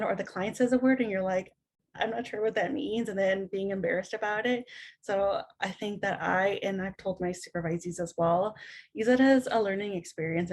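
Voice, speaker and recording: A woman speaking on a panel over a video call, measured and encouraging as she gives advice. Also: medium pitch. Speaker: psychology trainee panelist. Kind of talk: panel discussion.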